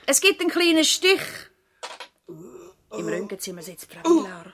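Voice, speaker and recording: A woman's voice: mid-range voice, sing-song, unhurried, fairly bright tone. A woman with a Swiss accent playing an efficient, bustling doctor's assistant in a radio drama, matter-of-fact and urging. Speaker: actor. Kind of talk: naturalistic radio drama acting.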